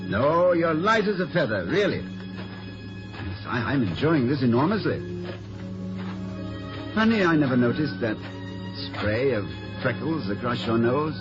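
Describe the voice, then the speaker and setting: An actor playing a gallant, flustered middle-aged professor in 1950s radio comedy-drama, speaking haltingly with a gentle stammer. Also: male voice, mid-range voice, sing-song, over music. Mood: breathless, smitten. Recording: muffled old 1950s radio recording.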